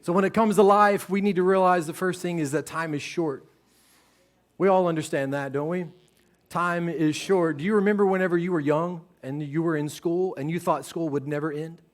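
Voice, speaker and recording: A man, preaching a sermon on a church stage in a relaxed, joking, conversational way. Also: a little high, normal speed. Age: 30s. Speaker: pastor.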